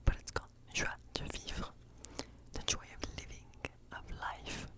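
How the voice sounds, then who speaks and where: A woman playing a quirky, playfully spooky witch in a whispered ASMR role play, murmuring haltingly and repeating words to herself. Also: natural voice. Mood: delighted, excited. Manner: hushed, halting, muttering repetitions to herself.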